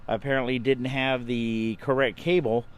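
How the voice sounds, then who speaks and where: A man giving an unscripted explanatory amateur radio vlog, speaking haltingly with frequent pauses mid-sentence. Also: mid-range voice, steady tone. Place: outdoors. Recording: clear outdoor ambient noise.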